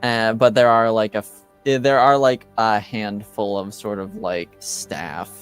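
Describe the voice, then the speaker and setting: A man explaining a tabletop game world as game master, in halting, tentative phrases. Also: on the low side, fairly even intonation, clean tone, soft background music.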